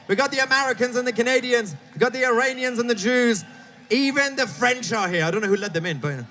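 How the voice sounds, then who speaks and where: A man giving an impassioned outdoor rally speech, shouting to a crowd. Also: sits high. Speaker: rally speaker.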